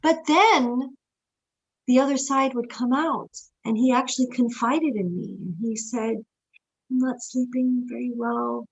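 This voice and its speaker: Animated, expressive woman storyteller. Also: a little high, a little slow, highs cut off. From New York. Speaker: guest, stage actress. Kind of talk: casual podcast interview.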